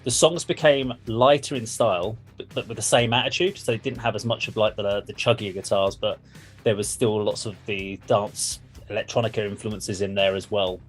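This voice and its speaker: A man's voice: lowish voice, fairly even intonation, moderate pace. A man hosting a casual music discussion, explaining things in an informal, sweary way. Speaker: music reaction show host.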